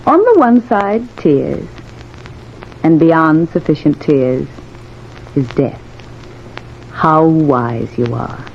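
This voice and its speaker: Haunting, imperious specter bride in cavernous reverb. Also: female voice, low-pitched, animated, extremely slow, vocal fry, long pauses, heavily acted. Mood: cruel taunting. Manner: imperious, taunting, theatrical. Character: vengeful, possessive, haughty female specter bride. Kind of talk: old-time radio horror drama acting. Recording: muffled, noisy old radio recording, long reverb.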